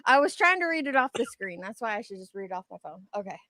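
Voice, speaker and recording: A woman co-hosting casually, chatting and reading online comments aloud in an animated, exaggerated way. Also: mid-range voice, quick pace, no room sound. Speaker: co-host.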